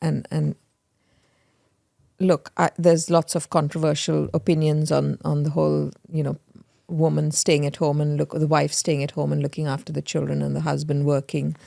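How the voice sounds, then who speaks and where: A woman's voice: deep voice, little pitch movement, moderate pace, vocal fry, dark tone, no room sound, studio quality. A woman hosting an interview, chatty and affirming, asking questions in a casual tone. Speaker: podcast host.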